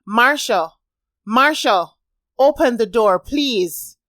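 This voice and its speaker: A woman's voice: on the high side, expressive, a little slow, fairly bright tone, no echo, clean studio sound, voice pushed up. A woman voicing a remorseful young man who pleads. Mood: pleading.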